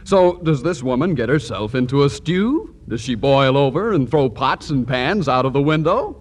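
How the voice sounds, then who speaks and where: A man's voice: mid-range voice, lively intonation, moderate pace, slight background noise. An American man announcing in the polished scripted style of a 1940s radio commercial. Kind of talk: 1940s radio advertising pitch.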